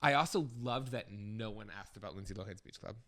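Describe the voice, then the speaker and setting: A young man co-hosting a podcast, telling stories in an animated, laughing way. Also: fairly low pitch, a little fast. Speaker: podcast co-host. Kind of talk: casual chat.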